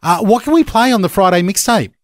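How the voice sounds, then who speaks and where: Chatty radio host, dry studio sound. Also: male voice, high-pitched, lively intonation, brisk, dry recording. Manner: chatty, upbeat, many fillers. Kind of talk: casual radio banter. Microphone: studio microphone.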